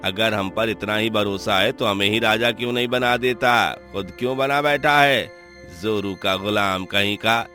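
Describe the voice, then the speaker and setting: Casting: male actor as plotting court minister, cartoon villain; bright forceful voice, sneering grumble, music behind. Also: lowish voice, moderate pace. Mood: resentful, contemptuous. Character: scheming, resentful royal minister, sneering and ambitious. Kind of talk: cartoon story villain acting.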